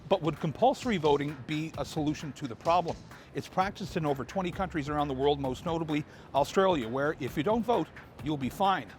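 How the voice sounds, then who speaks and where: A man's voice: on the high side, a little fast, faint music behind. A man reading scripted narration for a TV segment. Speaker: TV show narrator.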